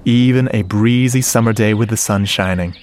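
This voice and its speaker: A man playing a shy, earnest, nerdy sound-effects man, telling his story conversationally and haltingly in a radio drama, with a wistful manner. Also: lowish voice, background noise, close to an everyday voice. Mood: proudly explaining. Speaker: narrator and lead character. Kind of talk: first-person radio drama monologue. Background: music and sound effects.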